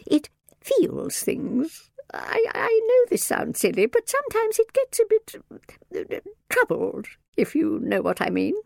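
A woman's voice: sits high, wildly swooping pitch, measured pace, vocal fry, darkish tone, no echo, studio quality, voice pushed up, full character voice. A woman voicing a flustered, friendly elderly great-aunt in a storybook, with a hesitant, fluttery way of talking. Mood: nervous, hesitant.

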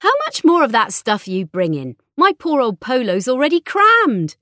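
A woman's voice: medium pitch, big pitch swings, normal speed, fairly bright tone, no echo, studio quality, voice pushed up. A woman voicing a fretful middle-aged mother chivvying her teenage daughter, as dialogue in an audiobook. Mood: impatient.

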